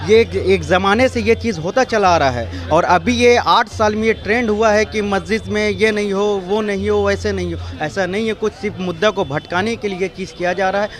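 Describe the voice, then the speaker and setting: A man's voice: sits high, moderate pace, hardly any pauses. A man in his thirties with a Bihari accent, giving his opinion in a street interview. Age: 30s. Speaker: local resident interviewed. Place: outdoor street. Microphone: handheld reporter microphone.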